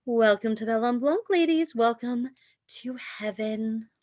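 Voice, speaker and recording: A woman acts out a snooty, glamorous boutique manager. Her voice sits high and swoops with affected, effusive polish, in a dry, slightly muffled recording of a comedy script. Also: a little slow, darkish tone, dry recording, lightly acted. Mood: polished welcome. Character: glamorous, snooty bridal shop woman, gushing to favourites. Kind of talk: screenplay table read.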